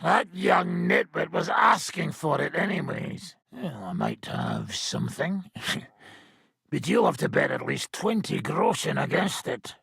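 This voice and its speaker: An actor playing a brash, foul-mouthed medieval mercenary commander in a video game, with a rough, raspy voice and a coarse, sardonic swagger. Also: male voice, on the high side, huge pitch swings, measured pace, a bit bright, no room sound, clean studio sound, full character voice. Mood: mocking, joking. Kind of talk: video game character acting.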